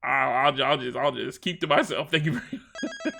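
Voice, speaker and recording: A man's voice: medium pitch, animated, brisk, creaky, pushed and bright. A man streaming a game, chatting loosely in slang while his throat is sore and strained. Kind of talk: casual livestream chat.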